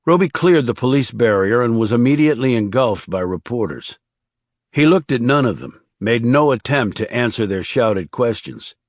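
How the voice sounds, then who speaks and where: A man's voice: mid-range voice, dry recording, studio quality. A man reading scripted thriller narration as an audiobook narrator.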